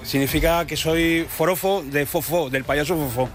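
A man's voice: a little high, moderate pace, background noise. A man speaking in a playful, jokey way in a street interview.

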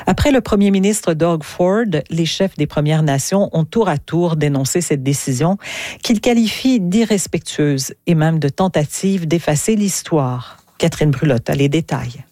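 A woman's voice: low-pitched, mellow, no echo, clean studio sound. A woman reading scripted news as a radio anchor.

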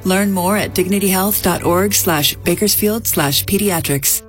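A woman reading a healthcare commercial in a gentle, reassuring tone. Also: medium pitch, a little slow. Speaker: ad voice-over. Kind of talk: commercial narration. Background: music.

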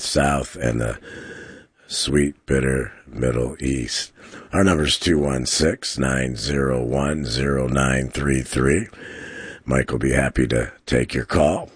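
A man hosting a live call-in radio show from a studio, trading dad jokes and talking faith and prophecy in a relaxed, jokey way. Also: rumbling low, slightly rough, no echo. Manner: jokey, chuckles at his own dad jokes. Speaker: radio talk show host. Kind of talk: casual radio banter and sermon-like talk.

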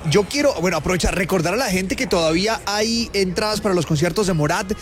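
A Colombian man hosts a live morning radio show. His voice sits high for a man, with faint music playing behind it. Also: quick pace.

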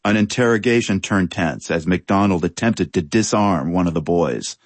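Guy reading a news feature, really low voice, dry close sound. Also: moderate pace, dry recording. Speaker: radio news presenter. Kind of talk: scripted news narration.

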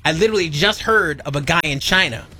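A man's voice: fairly high pitch, fast, bright, forceful tone, slightly rough. A man co-hosting a talk show, speaking casually with opinions, in an emphatic, slangy, explanatory way.

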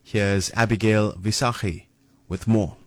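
A man with a South African accent reading the news as anchor in a measured, formal way. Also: on the low side, steady tone.

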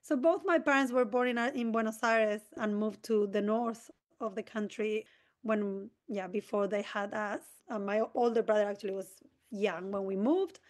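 A woman speaks as an interview guest. Her voice sits fairly high, and she explains things at length in an earnest, enthusiastic way, with the odd quick restart. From Argentina. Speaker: community organisation president.

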